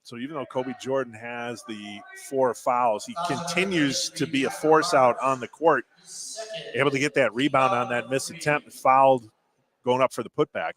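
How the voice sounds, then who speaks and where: A man's voice: mid-range voice, moderate pace, a bit bright. An American man giving live radio analysis as a basketball color commentator. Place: indoor high school basketball gym.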